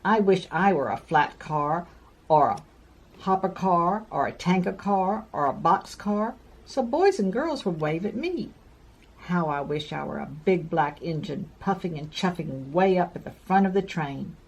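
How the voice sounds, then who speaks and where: A woman plays a little storybook caboose who feels sorry for himself yet stays plucky. The voice is plaintive and slightly husky, swinging widely in pitch. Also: expressive, a little slow, a little mellow, full character voice, low-quality recording. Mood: self-pitying. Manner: plaintive, sighing. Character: small wistful, sorry-for-himself but plucky male red caboose.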